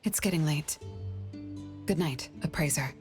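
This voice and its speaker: A woman voicing a composed, guarded young woman in an anime-style game, serious and measured. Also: deep voice, darkish tone. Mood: regretful, subdued. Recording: faint music behind the voice.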